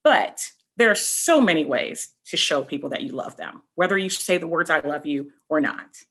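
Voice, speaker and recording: Expressive woman reading aloud, slightly husky. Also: low voice, moderate pace. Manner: expressive, addresses young listeners directly. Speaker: read-aloud storyteller. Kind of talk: children's picture book read-aloud with explanatory asides.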